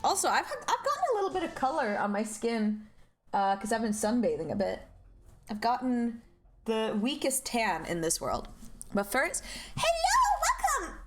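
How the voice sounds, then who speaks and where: A young woman from Norway streaming a game, chatting casually and greeting chat with high, bubbly hellos. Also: huge pitch swings, normal speed.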